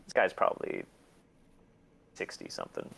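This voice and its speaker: A man's voice: moderate pace, fairly bright tone. A man chatting casually as an interview guest, candid, profane and self-deprecating, telling rambling stories and acting out quotes. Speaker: guest, collector.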